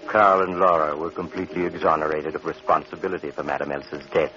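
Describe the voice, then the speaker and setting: An actor playing a suave, sinister doctor who hosts eerie tales with ominous, mock-courteous theatrical narration in 1940s radio style. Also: male voice, a bass voice, flat delivery, quick pace, pushed and bright. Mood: ominous narrating. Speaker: host and narrator. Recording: muffled, noisy, crackly 1945 radio recording.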